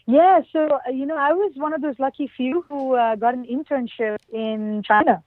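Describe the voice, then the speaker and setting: A woman's voice: high-pitched, normal speed, no room sound. A woman from Oman and India answering as a podcast guest, telling personal stories in a conversational interview style. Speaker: podcast guest, production engineer. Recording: lo-fi band-limited call audio.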